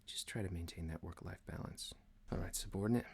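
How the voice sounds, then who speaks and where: A man playing a stern corporate boss in an ASMR roleplay, officious and deadpan, dryly menacing yet caring. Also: sits low, a little fast, gravelly, husky, exaggerated voice.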